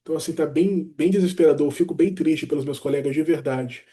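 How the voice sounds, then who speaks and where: A man, telling his own story in an easygoing, animated way, often dropping "cara" and "né". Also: fairly high pitch, quick pace. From Brazil. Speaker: doctor sharing his career story. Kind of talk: casual personal vlog talk.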